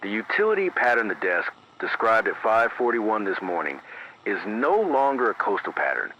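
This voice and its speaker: A man playing a grave, measured emergency radio announcer, reading scripted horror bulletins in a formal, deliberate, careful manner. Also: lowish voice, big pitch swings, lo-fi sound. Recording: band-limited radio sound with hiss.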